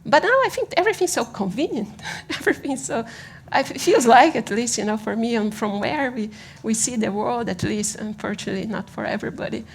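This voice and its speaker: A woman from Brazil with a Brazilian accent giving an informal conference presentation in a halting, conversational manner, often checking in with the listeners. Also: a little high, gravelly, a little hiss or hum. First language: Portuguese. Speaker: conference speaker on design.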